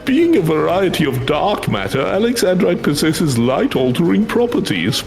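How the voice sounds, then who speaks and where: Grandiose, excitable game scholar, played by a man; high, wildly animated, theatrical, faint music behind. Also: normal speed, creaky. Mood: excited, grandiose. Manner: formal, theatrical, archaic phrasing.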